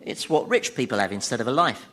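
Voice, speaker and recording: A sardonic, casually cruel devil king played by a man for radio comedy. His pitch swings freely as he delivers dry sarcasm. The recording carries clear noise. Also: lively intonation, fast talker, close to an everyday voice. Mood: wry, casual. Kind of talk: radio comedy drama acting.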